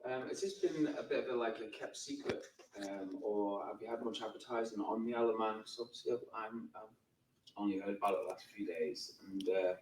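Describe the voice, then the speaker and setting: Distant, quick-talking man with lowish voice. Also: a little mellow. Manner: halting, repeating phrases. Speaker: audience member asking a question. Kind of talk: casual spoken comment from the audience. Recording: distant, roomy, faint background noise.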